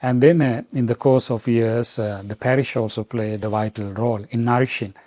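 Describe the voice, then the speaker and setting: A middle-aged man from Sri Lanka, a Vatican official answering interview questions in a halting way with many fillers and restarts. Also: mid-range voice, fairly even intonation, mellow, no echo.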